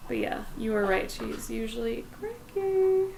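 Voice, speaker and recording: A young woman with a somewhat raspy voice, chatting casually while she draws on a livestream and talks to her dog and to viewers. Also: fairly high pitch, sing-song, unhurried, noisy background. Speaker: livestreaming pet portrait artist. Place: home art studio with plastic bins.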